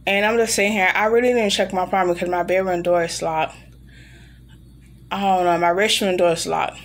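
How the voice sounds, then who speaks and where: A woman's voice: on the low side, fairly even intonation, brisk. A woman in her thirties chatting casually and bluntly to camera in a rambling vlog, sounding irritated, recorded at home at arm's length. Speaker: vlogger. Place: room in an apartment.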